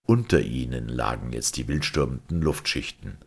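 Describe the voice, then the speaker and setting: A man's voice: rumbling low, lively intonation, moderate pace, creaky, husky. A man reading a vintage science fiction story aloud as scripted literary narration. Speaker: audiobook reader.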